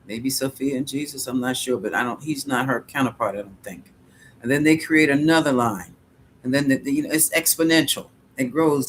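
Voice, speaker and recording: A man's voice: medium pitch, fairly bright tone, a little hiss or hum. Middle-aged guy rambling thru viewer questions on a live stream, laughs a lot, ordinary mid voice. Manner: rambling, musing aloud, chuckling often. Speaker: psychic livestream host.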